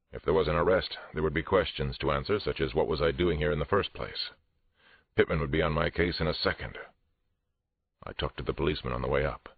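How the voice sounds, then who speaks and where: A man reading a first-person audiobook thriller as a police detective, tense, restrained and dramatic. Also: full character voice. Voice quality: very deep, husky. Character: male police detective narrator, angry but controlled. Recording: roomy, muffled phone-like audio.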